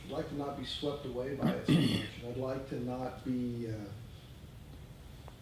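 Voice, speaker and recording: Distant, echoing man, halting and reflective. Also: mid-range voice, steady tone. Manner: halting, searching for words. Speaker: group member commenting. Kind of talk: reflective spoken comment in a group discussion. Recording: very echoey room, distant mic, noisy.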